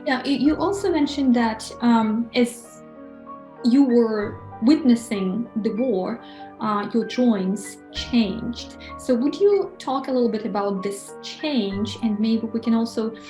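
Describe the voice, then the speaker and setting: A woman asks careful, unhurried interview questions in a mellow voice, pausing with small fillers. The sound is roomy and echoing, with faint music behind her. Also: on the high side. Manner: measured, halting questions with fillers.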